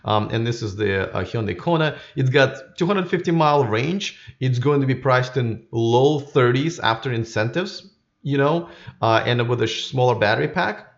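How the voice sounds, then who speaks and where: A man's voice: mid-range voice, normal speed, vocal fry, lo-fi sound. A man hosting a live stream with casual, unscripted commentary, rambling and often repeating his words as he goes. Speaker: YouTube live stream host.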